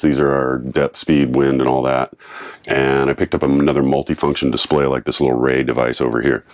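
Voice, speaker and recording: A man's voice: extremely low pitch, a little hiss or hum. An American man in his fifties explaining a hands-on job in a casual vlog style, informally thinking aloud, recorded on a clip-on mic in a small boat cabin. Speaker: boat owner presenting a DIY installation. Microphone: clip-on lavalier on the chest.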